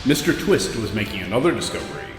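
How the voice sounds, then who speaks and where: An actor playing a grandiose, portentous announcer narrating an old-time radio superhero serial, in an echoey reverb. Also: male voice, fairly low pitch, sing-song, quick pace. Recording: echoey long reverb over music.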